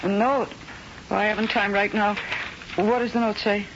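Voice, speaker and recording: An actress playing a famous, weary, warm and emotional singing star in a 1970s radio drama. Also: female voice, medium pitch, little pitch movement, moderate pace, background noise, close to an everyday voice. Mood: flustered. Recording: dull 1970s radio recording, music behind.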